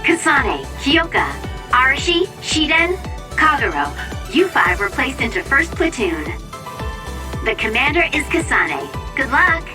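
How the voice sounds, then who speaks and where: A woman's voice: sits high, sing-song, measured pace, distant mic, music in the background. A woman voicing a cheerful young platoon operator in an anime-style game, giving briefings in a chipper tone.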